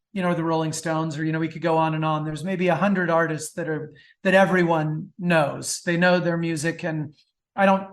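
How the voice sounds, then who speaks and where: A middle-aged man chatting casually as an interview guest, enthusiastic and prone to digressions. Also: on the high side. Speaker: guest author.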